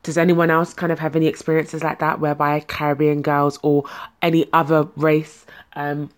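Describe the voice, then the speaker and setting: A woman's voice: low voice, level tone, normal speed. A young adult woman from London with a London accent, telling personal stories to viewers in a casual, conversational way. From London, England. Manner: conversational, quoting dialogue in anecdotes. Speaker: speaker sharing a personal story.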